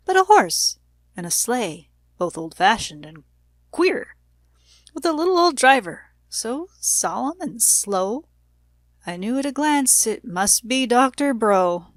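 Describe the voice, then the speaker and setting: A woman reading rhyming children's verse aloud as an expressive storybook narrator. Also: fairly high pitch, unhurried, dry recording. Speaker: storybook reader.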